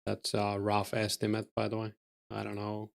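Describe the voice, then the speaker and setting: Low, flat-voiced Finnish man, gravelly. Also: moderate pace. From Finland. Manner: relaxed, reads and answers chat while playing, with fillers. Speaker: game streamer. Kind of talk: casual stream chat while gaming.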